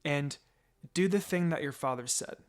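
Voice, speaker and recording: A man's voice: a little high, moderate pace, lightly acted. A man voicing a loving, protective mother in a dramatic story reading, tender and instructing, over music. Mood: weak, tender. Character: loving, protective young mother of a small girl. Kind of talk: dramatic fan fiction reading. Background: background music.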